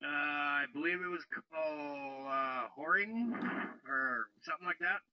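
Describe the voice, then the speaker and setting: A man's voice: a little high, unhurried. A man from Canada with a Canadian accent, chatting casually as a caller on a radio net. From Canada, born in Denmark. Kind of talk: casual chat. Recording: dull, dry lo-fi radio app audio.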